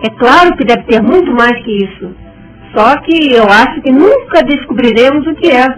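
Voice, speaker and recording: A woman's voice: sits high, expressive, moderate pace, rough recording. An actress playing a worried, emotional woman in a radio soap opera, speaking in a dramatic, pleading way. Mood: troubled. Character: Marta, worried emotional woman, anguished friend. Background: music.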